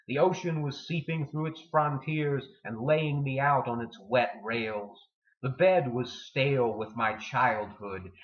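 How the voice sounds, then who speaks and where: A man giving a dramatic poetry reading with deliberate, theatrical delivery and emphatic pauses. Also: medium pitch. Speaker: poetry reader. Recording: dull lo-fi sound in echoey room.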